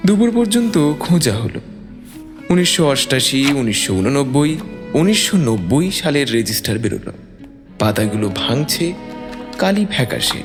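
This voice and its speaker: Male story narrator, lively pitch swings, dramatic read, big reverb n music under. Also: normal speed. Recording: long reverb, music bed.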